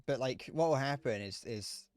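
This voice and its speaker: A young British man with a slightly whiny, mid-pitched voice chats casually while he plays a game. He rambles, restarts his sentences and makes fun of himself, and swears now and then. His voice sounds dry and close, with little room sound. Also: moderate pace, a little mellow, no echo, faint music behind. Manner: rambling, self-deprecating, swears, frequent restarts. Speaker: streamer. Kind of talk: casual live gameplay commentary.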